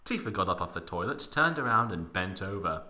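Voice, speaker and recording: Animated, forceful deep-voiced man narrating. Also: brisk. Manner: mock-serious, theatrical, heavy emphasis. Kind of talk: dramatic story reading, comic.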